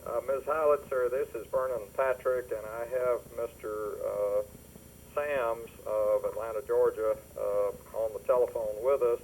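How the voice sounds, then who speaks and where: A man speaking formally and haltingly on a business phone call, recorded over a telephone line. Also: mid-range voice, steady tone, darkish tone, slight background noise. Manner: halting, formal, deliberate phrasing.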